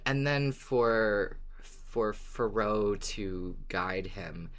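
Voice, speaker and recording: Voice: low voice, drawn-out words. A podcast co-host in casual, conversational fan discussion.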